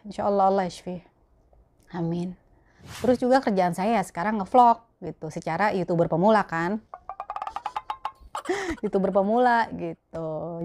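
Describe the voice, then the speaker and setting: A woman's voice: medium pitch, warm tone. A young adult woman with a Betawi Jakarta accent, chatting casually and colloquially to camera as a vlogger answering viewer questions. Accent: Betawi Jakarta Indonesian. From Jakarta, Indonesia. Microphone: clip-on lapel mic.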